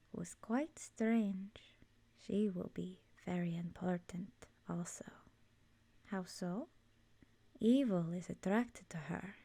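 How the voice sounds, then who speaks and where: A woman playing an ancient, ominous yet fond swamp witch in an ASMR roleplay, soft-spoken and breathy, often slipping into a whisper, with a measured, cryptic manner. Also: lowish voice, dry recording, heavily acted. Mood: mysterious, musing. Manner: measured, cryptic, solemn, addressing listener as dear.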